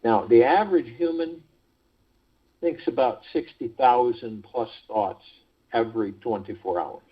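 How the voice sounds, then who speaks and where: A man hosting a guided meditation call, speaking in a measured, deliberate way with pauses between phrases, over a phone conference line. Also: mid-range voice, husky. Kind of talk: guided meditation talk, reading prepared spiritual text. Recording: muffled, lo-fi conference call audio.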